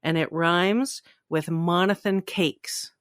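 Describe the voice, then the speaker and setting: A woman's voice: on the low side, fairly even intonation, slow, dry recording, studio quality. A woman co-hosting a fan podcast, chatting informally and with enthusiasm. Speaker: podcast co-host. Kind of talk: casual fan podcast chat.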